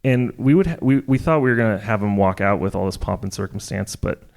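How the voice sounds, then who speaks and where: American co-host, gravelly low-pitched voice, chatty and playful. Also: male voice, lively intonation, a little mellow. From United States. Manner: chatty, joking, quick asides. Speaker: podcast co-host. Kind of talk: live podcast interview. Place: jazz club before live audience.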